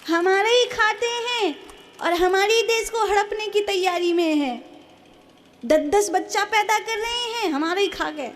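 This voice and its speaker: A woman preacher speaks in a high voice at a slow, deliberate pace. She delivers a religious discourse to a congregation, exhorting them emphatically. She leans on repetition and rhetorical questions. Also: slight background noise.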